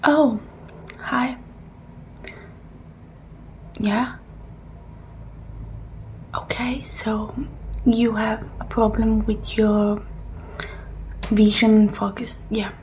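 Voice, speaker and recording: Soft, hushed woman doing ASMR roleplay. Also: a little high, level tone, a little hiss or hum, poor audio. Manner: gentle, hushed, halting, reassuring. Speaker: ASMR performer playing a robot repair technician.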